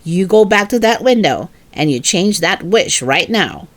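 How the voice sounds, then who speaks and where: Woman acting stern mother, lowered, firm voice. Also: animated, unhurried, dry recording. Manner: clipped, emphatic scolding. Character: stern, exasperated adult mother, firm and commanding. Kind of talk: animated children's storybook reading.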